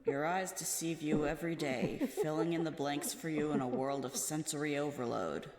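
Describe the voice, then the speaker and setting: A fairly high male voice lectures gravely in a comic dub, acted by a man, measured and instructive. It echoes heavily, with crowd murmur behind it. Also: natural voice. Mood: solemn lecturing.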